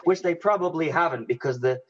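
A man's voice: a little high, fairly quick, husky, highs cut off. A man in a casual chat, thinking aloud in a conversational way.

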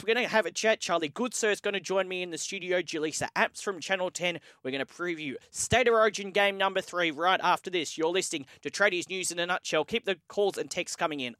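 An Australian man hosting a morning sports radio show, reading news, listener texts and the weather in a brisk manner. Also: fairly high pitch, sharp tone, no room sound, clean studio sound. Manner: brisk, reads texts and weather, repeats phone numbers. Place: radio studio. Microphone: studio microphone.

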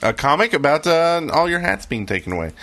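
A man co-hosting a podcast, chatting casually in a halting delivery. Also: on the high side, very animated, fairly bright tone. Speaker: podcast co-host.

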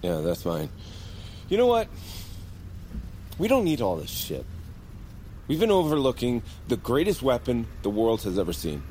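A man's voice: medium pitch, very animated, moderate pace, pauses often, darkish tone, a little hiss or hum, natural voice. An actor playing a brash, cocky, foul-mouthed man who fancies himself an action hero in a comedy audio drama. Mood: grandiose. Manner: brash, swearing, mock-serious. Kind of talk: comedic audio fiction acting.

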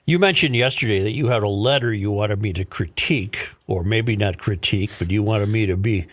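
A man hosting a radio show, reading aloud and chatting in a deliberate, picky way, spelling things out. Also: fairly low pitch.

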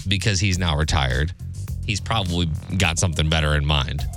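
A man's voice: very deep voice, moderate pace, over music. An American man co-hosting a radio show with casual banter and sports updates. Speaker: radio co-host and sports reporter. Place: radio studio.

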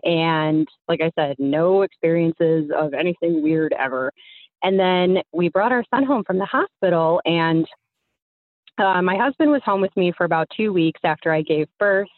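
A woman's voice: lowish voice, moderate pace, no room sound. Young American woman on a tinny phone line. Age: young adult. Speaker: phone-in guest. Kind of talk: casual personal storytelling. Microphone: phone call.

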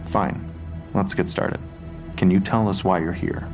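An actor in an audio drama playing a steady police detective who questions in a measured, procedural way. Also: male voice, low voice. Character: steady, probing male police detective interviewing a witness. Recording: music bed behind the voice.

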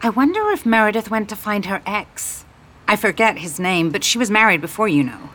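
A woman voicing an excited, pert young female tourist with a gushing, romantic streak in an audiobook. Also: mid-range voice, a little fast, slight background noise, voice pushed up, close to an everyday voice. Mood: curious. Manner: pert, effusive. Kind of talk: audiobook character dialogue.

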